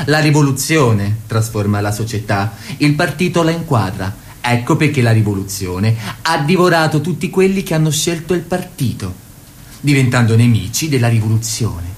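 A man's voice: medium pitch, natural voice. A man playing a calculating party ideologue in an Italian radio drama, speaking in didactic, declamatory fashion. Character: doctrinaire male party ideologue, measured and calculating. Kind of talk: Italian radio theatre, political oratory.

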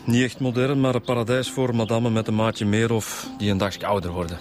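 An actor playing a chatty, wry police inspector with a Flemish accent in a radio drama. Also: male voice, on the low side, flat delivery, brisk, a little mellow, faint music behind, lightly acted. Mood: informative, chatty. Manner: chatty, colloquial. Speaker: detective. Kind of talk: naturalistic radio drama acting.

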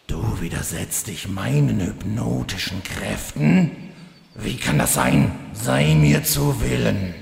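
Mann spielt einen knarzigen Bösewicht, Dämonenelf, langsam, drohend, halliger Raum. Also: male voice, fairly low pitch, sing-song, slight background noise, full character voice. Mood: commanding. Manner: gravelly, slow, menacing villain speech. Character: scheming imprisoned male demon-elf villain, frustrated. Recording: roomy reverb.

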